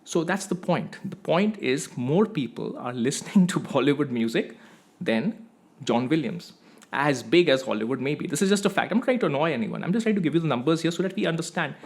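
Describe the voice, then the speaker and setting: A man's voice: on the high side, lively intonation, a little fast. A man from India, hosting a music channel and explaining his opinion step by step in an emphatic monologue.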